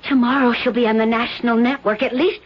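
An actress in a 1970s radio drama playing a worried American secretary who speaks quickly and anxiously. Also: female voice, on the high side, fairly even intonation, husky. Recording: dull 1970s radio broadcast.

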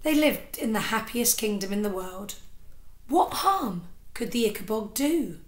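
A woman in her thirties reading a children's story aloud with expressive narration, like a teacher, into a device at arm's length indoors. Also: medium pitch, a little slow. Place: small indoor room with sofa. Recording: echoey room.

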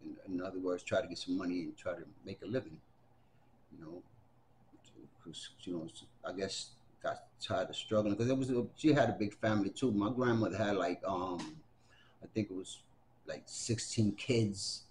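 A man in his early sixties with a low voice, speaking at table distance in a library room with a faint hiss behind him. He talks in a loose, rambling way, full of "um" and "you know", and often stops to restart a sentence. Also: moderate pace, darkish tone. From Puerto Rico, raised in the Bronx. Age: around 60. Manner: rambling, many fillers and restarts. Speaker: interviewee. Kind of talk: oral history interview.

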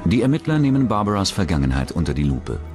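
A man's voice: low voice, very animated, fast talker. A man reading scripted narration for a true-crime documentary as its narrator. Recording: dramatic music bed under the voice. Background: background music.

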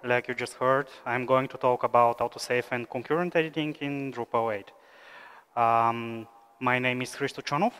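A man in his thirties from Bulgaria, giving a technical conference talk with many "uh" fillers in a steady explanatory pace. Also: medium pitch. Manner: frequent "uh" fillers, measured explanatory delivery. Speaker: conference speaker, software engineer. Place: large conference hall, at a lectern stage. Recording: smooth voice with long hall reverb.

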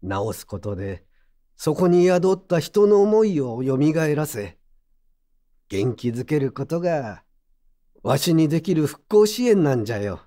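An actor playing a gruff but kindly old pottery mender with brusque old-man speech, in a Japanese audio drama. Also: fairly high pitch, mellow. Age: older.

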